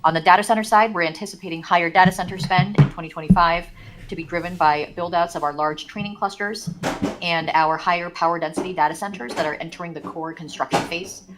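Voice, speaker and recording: Fast, low-pitched woman executive. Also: steady tone, music in the background. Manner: formal, reading out. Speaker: company executive. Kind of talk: scripted earnings call presentation.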